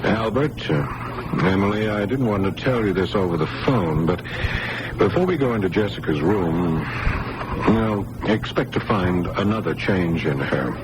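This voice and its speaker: Deep-voiced, earnest radio-drama doctor. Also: male voice, animated. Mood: gentle, concerned. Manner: hesitant, measured, reassuring. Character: earnest, concerned male hospital doctor. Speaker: actor playing a doctor. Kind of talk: 1980s radio drama acting. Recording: noisy old radio broadcast recording.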